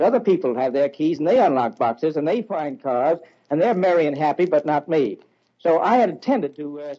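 A man's voice: on the high side, husky. An American man reporting live on 1940s radio, ad-libbing as he goes. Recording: muffled lo-fi 1940s radio recording.

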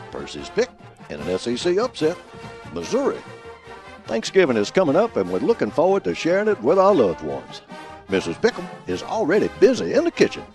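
Guy doing a folksy old football professor radio bit, husky voice, super swoopy delivery, music underneath. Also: mid-range voice, a little mellow. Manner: folksy, grandiloquent alliterative delivery. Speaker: host of a college football prediction show. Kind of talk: scripted comic radio commentary.

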